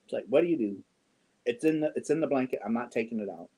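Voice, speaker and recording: A man in his fifties chatting casually with viewers on a yarn livestream, joking and often clearing his throat. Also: mid-range voice, expressive, fairly quick, warm tone, poor audio. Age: 50s. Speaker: yarn channel host. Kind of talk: casual livestream chat answering viewer comments.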